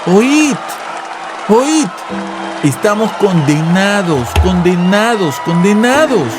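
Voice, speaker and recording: A man's voice: high voice, sing-song, slow pace, music in the background, a crowd in the background, pitched above the performer's own voice, exaggerated voice. A man playing a fervent, imperious revival preacher in a dramatized story, speaking with urgent, vibrant, menacing oratory. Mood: fervent, dire. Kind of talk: dramatized audiobook fire-and-brimstone sermon.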